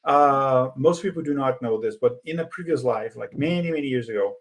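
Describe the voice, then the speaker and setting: A man with a gravelly, fry-edged voice at a middle pitch, talking casually as a podcast co-host. His first language is Portuguese, and he pauses often, circling back with fillers and restarts. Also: very noisy. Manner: hesitant, many fillers and restarts. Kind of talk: casual conversation.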